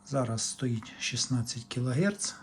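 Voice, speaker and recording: Slow-talking man over faint background noise. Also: mid-range voice. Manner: matter-of-fact, talking in short fragments while working. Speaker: hobbyist repair technician presenting a demonstration. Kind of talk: unscripted technical demonstration, talking through measurements.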